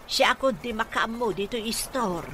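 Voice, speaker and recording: A woman's voice: a little high, moderate pace, lightly acted. A woman with a northern Philippine accent plays an indulgent, encouraging aunt in a radio comedy drama. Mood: kindly coaxing. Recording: clear background noise.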